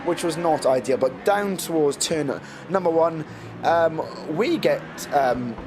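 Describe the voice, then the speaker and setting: A man's voice: fairly high pitch, animated, unhurried. A man losing his voice, casually and self-mockingly commentating his own racing game footage with many ums. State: losing his voice, getting ill. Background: background music.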